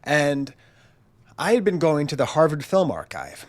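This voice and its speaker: A man's voice: a little high, wildly swooping pitch, unhurried. Nasal, congested man telling a story. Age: 40s. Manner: chatty storyteller, self-mocking, acts out quotes. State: nasal from a chest cold. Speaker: channel host. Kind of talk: casual spoken monologue.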